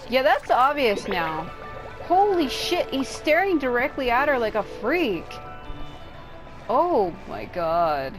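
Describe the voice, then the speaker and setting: A woman's voice: high voice, big pitch swings, slow, rough recording. A woman giving casual commentary as she plays a video game, with a blunt, sarcastic way of talking. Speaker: gamer doing a let's play. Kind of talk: casual game commentary, reading choices aloud. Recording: game music behind. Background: video game music.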